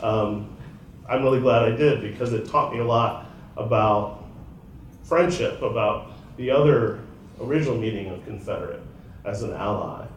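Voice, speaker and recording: A man speaking as a panelist writer, halting and hesitant, with frequent ums. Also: on the low side, distant mic. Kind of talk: panel discussion. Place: event room in a library. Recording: echoey, off-mic, faint noise.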